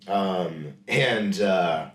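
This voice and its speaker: A man co-hosting a podcast in casual chat, rambling and slipping into quick silly voices when quoting. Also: low voice, fairly bright tone, reverberant. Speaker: podcast co-host.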